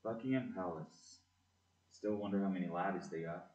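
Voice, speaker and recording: A man's voice: low voice, normal speed, darkish tone, close to an everyday voice. A man playing a cheeky, teasing fourteen-year-old boy in a community audio play. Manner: playful, teasing. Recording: echoey, muffled room recording.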